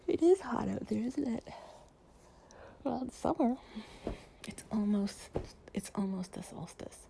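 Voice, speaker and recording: Soft, breathy, creaky girlfriend played by a woman. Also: fairly high pitch, slight background noise, heavily acted. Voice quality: breathy, often near whisper. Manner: soft, rambling, affectionate, giggly. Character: affectionate, playful girlfriend cuddling in bed with listener. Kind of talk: improvised intimate relaxation roleplay audio, ASMR-like.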